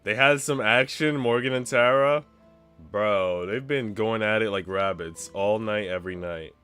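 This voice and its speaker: A man's voice: fairly low pitch, measured pace, sharp tone, smooth, faint music behind. A man reading a visual novel aloud as a streamer, narrating the script and dropping in slangy, joking asides.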